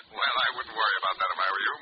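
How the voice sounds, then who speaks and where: A man acts a self-assured, carefree company boss with a low voice. It is old radio drama, muffled and extremely noisy. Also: close to an everyday voice. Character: unworried, confident male company president. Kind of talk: 1940s radio drama acting.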